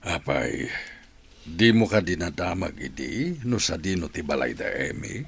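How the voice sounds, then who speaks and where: A man's voice: sits low, sing-song, measured pace, gravelly, breathy edge, dry recording, exaggerated voice. A man with a Filipino accent playing a supportive, reassuring companion of a troubled mother in a radio drama. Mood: questioning.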